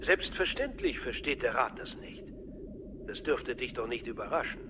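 A man plays a fatherly, subtly manipulative older mentor in a radio drama. He speaks with measured patience at a middling pitch, in a dull sound over noticeable noise. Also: animated, close to an everyday voice. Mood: soothing. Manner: measured, paternal. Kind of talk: radio play acting. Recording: dull sound, clearly noisy ambience.

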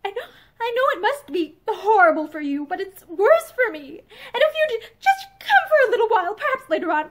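A woman reading aloud, voicing a tearful young woman who pleads in a halting voice broken by sobs. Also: extremely high pitch, fairly bright tone, voice pushed up.

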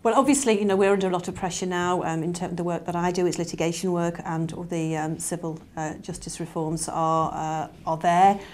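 A middle-aged woman with a British accent speaks in a low voice that stays very even. She sounds measured and professional, like a lawyer giving an interview. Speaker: lawyer at a law firm. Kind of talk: interview answer. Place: small plain indoor room.

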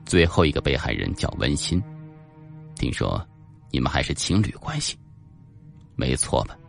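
A man's voice: a bass voice, big pitch swings, moderate pace, creaky, soft background music, pitched below the performer's own voice, heavily acted. A man voicing a cold, probing police team leader with an insinuating, menacing manner in an audiobook. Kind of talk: audiobook character dialogue.

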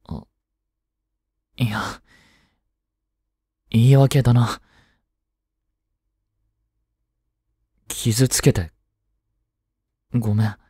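A young man playing a teasing but tender schoolboy boyfriend in a voice drama, speaking casually and softly with hesitant pauses. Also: medium pitch, fairly even intonation, slightly rough, dry recording, clean studio sound. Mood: tender, earnest. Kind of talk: Japanese boyfriend voice drama, intimate one-sided dialogue.

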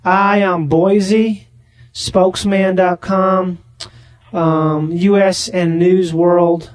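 A man making a deadpan prank phone call, rambling naively and slow to catch on. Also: sits high, no echo. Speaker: prank caller on a comedy podcast.

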